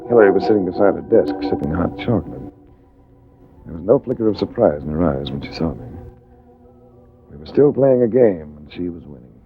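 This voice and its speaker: A man's voice: low voice, vocal fry. A man playing a wry, self-assured hardboiled investigator in 1940s radio drama style, narrating and talking. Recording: tinny, noisy vintage radio recording.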